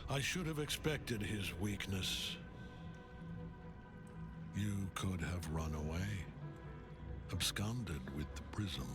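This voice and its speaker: A grim older general, a villain from a fantasy game. His voice is low and he speaks slowly, with solemn, grandiose menace over loud dramatic music. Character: grim old male general, fanatic undead-raising death cultist. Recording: cinematic music behind the voice.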